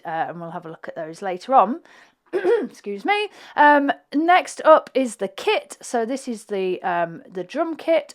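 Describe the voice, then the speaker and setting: A woman with a slightly croaky voice, a flutist chatting through her music production session and thinking aloud as she clicks around. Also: mid-range voice.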